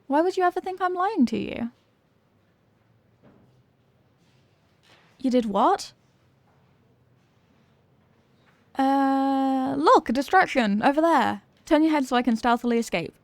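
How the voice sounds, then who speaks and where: Woman acting as teenage cheerleader, high voice, touch of creak, flustered, defensive, stammering, ASMR roleplay. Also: expressive, normal speed, dry recording. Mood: nervous, evasive. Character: evasive, flustered popular teenage cheerleader girl being tutored. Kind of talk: ASMR roleplay, acted one-sided conversation.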